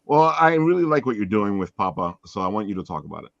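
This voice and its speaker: A man's voice: very animated, quick pace, creaky, no echo. A man hosting a casual interview show, chatting informally with his guest and the audience. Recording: dull, low-fidelity call audio.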